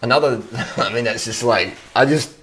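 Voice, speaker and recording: A man speaks off the cuff at a middling pitch, like an online commentator answering his viewers. He is blunt and opinionated, swears freely, and hammers points home by repeating them. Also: moderate pace, fairly bright tone, poor audio. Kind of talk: casual unscripted rant.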